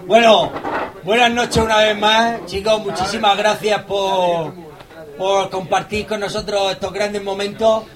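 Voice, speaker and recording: Very high-pitched man chatting casually. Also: fairly even intonation, measured pace, pauses often. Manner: informal, addresses listeners familiarly. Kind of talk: casual chat.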